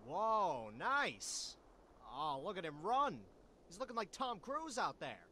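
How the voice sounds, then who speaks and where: A man's voice: sits high, very animated, slow pace, pushed and bright, exaggerated voice. A man voicing a small, whiny slacker animal in his twenties, slangy and complaining, for a cartoon adventure game. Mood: casual. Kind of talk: voiced game dialogue.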